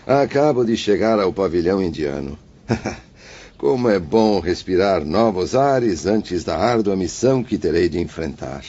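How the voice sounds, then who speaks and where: Deep-voiced, solemn male spirit played by a man. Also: lively intonation, unhurried, dark tone. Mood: resolved, cordial. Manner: grave, formal, declamatory. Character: solemn, remorseful male spirit of a former suicide, preparing for rebirth. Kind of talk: dramatized audiobook acting. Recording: dull lo-fi audio with music behind.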